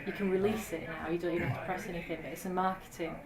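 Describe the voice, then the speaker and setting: A woman's voice: fairly low pitch, fairly even intonation, racing. A woman interviewing a band, asking curious, conversational questions. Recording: echoey, with a crowd behind.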